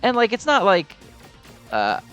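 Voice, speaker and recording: A man's voice: high-pitched, wildly swooping pitch, measured pace, a bit bright, highs cut off, soft background music. A man giving casual, rambling gameplay commentary with a fan's enthusiasm and some mild swearing. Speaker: gamer commenting on own gameplay.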